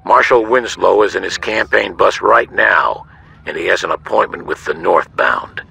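An actor playing a corrupt middle-aged police chief in a video game, gravelly, measured and menacing as he bargains and threatens. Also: low voice, pushed and bright, no echo, exaggerated voice, low-quality recording. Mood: cold menace. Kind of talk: scripted video game acting.

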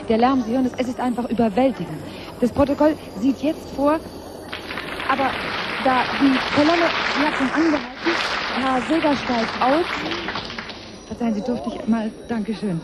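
A woman's voice: on the high side, close to an everyday voice. A woman playing a polite, eager live reporter in a radio drama, speaking formally over noisy background ambience. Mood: polite, hurried. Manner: formal, courteous.

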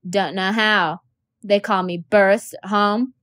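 A woman's voice: mid-range voice, steady tone, measured pace, fairly bright tone, natural voice. Surly boy, woman reader: blunt, curt, unschooled.

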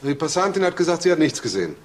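An actor playing a brisk police detective who asks clipped, probing questions in a crime radio drama. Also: male voice, fairly high pitch, very animated, roomy sound, slight background noise.

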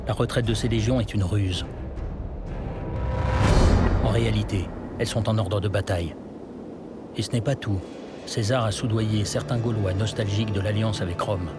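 Low-voiced male documentary narrator. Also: fairly even intonation, moderate pace, long pauses. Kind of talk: scripted dramatic historical narration. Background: loud music.

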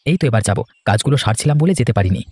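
A man's voice: lowish voice, animated, very fast, darkish tone, slightly rough, dry recording. A man playing a worried, dutiful young married son in a cartoon, speaking earnestly and reassuringly. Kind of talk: cartoon voice acting, domestic moral story.

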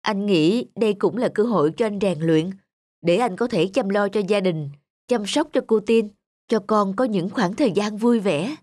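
A woman's voice: mid-range voice, moderate pace, darkish tone, no echo, clean studio sound, close to an everyday voice. A woman voicing an earnest, reflective young husband and devoted father in a cartoon. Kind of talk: cartoon dubbing. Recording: music behind the voice.

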